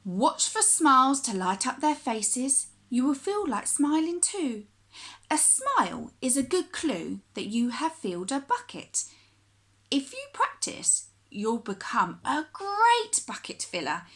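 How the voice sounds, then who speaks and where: A woman with a fairly high voice reads a picture book aloud for young children. Her pace is unhurried and her pitch swings widely from phrase to phrase. She phrases deliberately and expressively, in the manner of a primary school teacher. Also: a bit bright.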